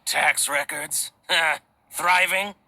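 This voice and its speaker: An actor playing a flamboyant, scheming male shopkeeper with a theatrical, excitable delivery in a video game. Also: on the high side, very slow, creaky, full character voice. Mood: indignant. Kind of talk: scripted video game voice acting.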